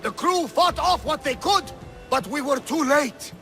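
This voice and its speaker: A man's voice: very high voice, expressive, unhurried, pushed and bright, faint music behind, heavily acted. An actor playing a tough but caring older male relative in a video game. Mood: urgent. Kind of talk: dramatic video game acting.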